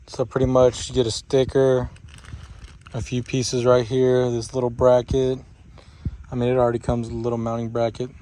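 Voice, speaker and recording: A man's voice: mid-range voice, completely flat delivery, moderate pace, warm tone. A man giving a casual, offhand hands-on walkthrough as a DIY vlogger, speaking informally. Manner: informal, offhand, uses y'all. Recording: noisy handheld video with clicks.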